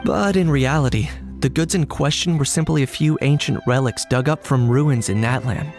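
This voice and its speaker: Man voicing a deadpan, cool young man. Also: mid-range voice, soft background music, lightly acted. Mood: matter-of-fact, dry. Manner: deadpan, measured, matter-of-fact. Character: cool, pragmatic young man, dryly unbothered. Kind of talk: English video game dub acting.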